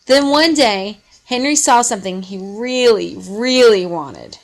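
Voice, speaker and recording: A woman reading a children's story aloud in an expressive way. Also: medium pitch, measured pace. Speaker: storybook reader.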